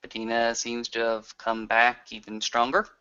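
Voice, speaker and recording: A man playing an earnest, reflective werewolf in an improvised roleplay, talking in a deliberate, halting way. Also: mid-range voice, monotone, bright, forceful tone, clear, resonant tone, natural voice. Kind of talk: improvised in-character roleplay conversation. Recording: dull lo-fi voice recording.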